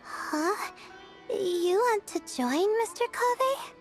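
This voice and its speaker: A woman voicing a timid, drowsy student girl in a video game, halting, soft-spoken and unsure. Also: extremely high pitch, expressive, soft background music, heavily acted. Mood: hesitant. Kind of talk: video game character acting.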